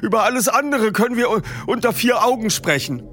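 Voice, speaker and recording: A man's voice: very high-pitched, animated, brisk, pushed and bright, soft background music, pitched above the performer's own voice, heavily acted. A man voicing a frightened elderly man of nearly eighty who stammers and gasps for breath. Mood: nervous.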